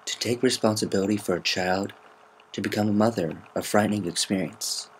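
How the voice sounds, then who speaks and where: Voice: low-pitched, steady tone, a little fast, close to an everyday voice. A reader voicing a brash but tender young pony mother with a casual, slangy way of talking, on a noisy amateur recording. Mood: reflective. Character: brash, tender-hearted young adult mare, new mother.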